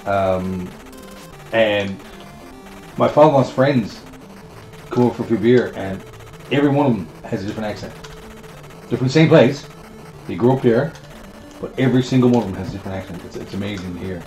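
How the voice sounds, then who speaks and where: A man with a low, gravelly voice chats on a game stream, laughing often and tripping over his words. He sounds like he is in an echoey room with faint music behind. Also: fairly quick, long pauses, darkish tone. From Newfoundland. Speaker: co-host. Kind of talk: casual live-stream chat.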